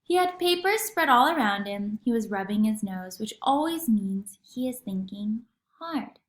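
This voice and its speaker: Animated high-voiced woman reading a story aloud. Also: measured pace, reverberant. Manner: animated, acts out dialogue. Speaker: teacher reading aloud for a lesson. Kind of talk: expressive children's storybook read-aloud.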